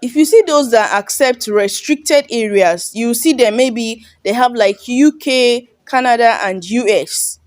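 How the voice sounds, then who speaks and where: A woman's voice: a little high, measured pace, hardly any pauses, darkish tone, no room sound. A Yoruba-speaking woman from Nigeria with a Nigerian English accent, casually walking viewers through an online sign-up form and often checking "you understand?". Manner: chatty, repeats "you understand?". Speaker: online tutorial host. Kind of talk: casual screen-recording walkthrough.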